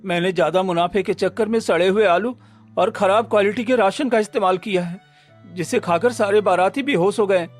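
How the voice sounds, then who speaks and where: A man's voice: sits high, fairly quick, soft background music, close to an everyday voice. A man playing a greedy, scheming food-cart husband in a Hindi cartoon story, with a fawning, pleading manner.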